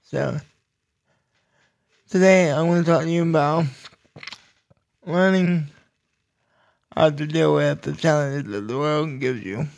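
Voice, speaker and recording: Male podcast host, personal talk; very slow, halting delivery in short bursts, gravelly fry, slightly high-set voice.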